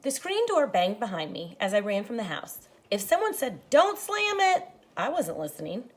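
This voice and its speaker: A woman teacher reading a picture book aloud to children, expressive and dramatic, speaking to them directly. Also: mid-range voice, normal speed. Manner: animated, dramatizes the story lines, addresses children directly. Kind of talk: expressive children's read-aloud with explanatory asides.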